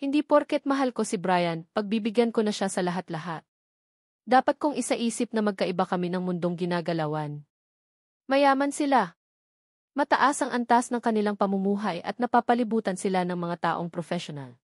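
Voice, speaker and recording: A woman's voice: mid-range voice, animated, normal speed, darkish tone, dry recording, clean studio sound. A woman reading a romance novel aloud as a scripted first-person narration. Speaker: audiobook narrator.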